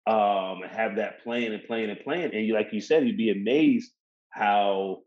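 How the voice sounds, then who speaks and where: A man's voice: fairly low pitch, a little slow. A man hosting a casual interview podcast, talking in a hesitant way and repeating his words and phrases. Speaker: podcast host. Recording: roomy echo, dull lo-fi sound.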